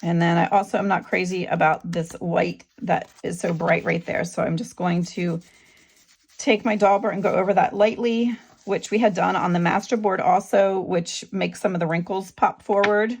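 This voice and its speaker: Middle-aged woman, sore throat, low and gravelly, relaxed halting craft-tutorial host. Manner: relaxed, halting, with frequent ums. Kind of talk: casual craft tutorial narration.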